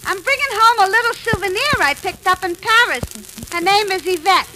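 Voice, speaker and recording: A woman's voice: very high-pitched, normal speed, sharp tone. A woman playing a sassy, folksy diner owner with broad, ungrammatical speech in a 1940s radio comedy. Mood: gleeful. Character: sassy New York meatball diner owner, a gushing sailor's wife. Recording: noisy old radio recording.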